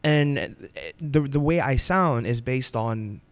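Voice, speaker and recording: A man working as a radio DJ, chatting as a podcast guest in an animated, expressive way. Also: medium pitch, slow pace, clear, resonant tone, no echo. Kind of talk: casual podcast interview.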